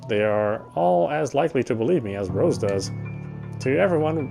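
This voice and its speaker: A man narrates a visual novel as he plays, in a middling pitch. He reads the prose aloud in an unpolished way, sometimes correcting himself. Faint game music sits underneath. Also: animated, normal speed, gravelly. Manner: unpolished read with stumbles and corrections.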